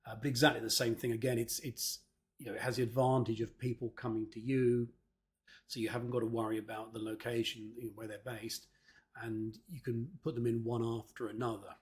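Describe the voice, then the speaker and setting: A man's voice: medium pitch, flat delivery, brisk. A man presenting an informal instructional talk, hesitant and often repeating words as he explains. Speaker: presenter, marketing consultant.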